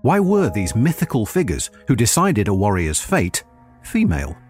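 A man's voice: fairly low pitch, huge pitch swings, normal speed, music in the background. A man reading a scripted history article aloud as a narrator.

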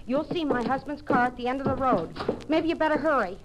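A woman's voice: high-pitched, fairly quick, very noisy, natural voice. A woman playing a tight-lipped, suspicious wife with a pointed, insistent manner in an old radio crime drama.

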